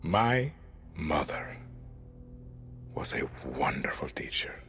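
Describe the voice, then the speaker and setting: A man with a Latin American Spanish accent playing a proud, menacing middle-aged dictator in a game cutscene, measured and condescending. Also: a bass voice, sing-song, fairly bright tone, in a whisper, soft background music, heavily acted. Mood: ominous. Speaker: actor in a video game. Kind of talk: cinematic game cutscene acting.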